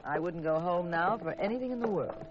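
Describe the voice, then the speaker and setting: An actress playing a stubborn, spirited detective's wife who also narrates, in an old radio drama on a muffled recording. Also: female voice, lowish voice, animated, fairly quick, noisy background, natural voice. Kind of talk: 1940s-50s radio drama acting and narration.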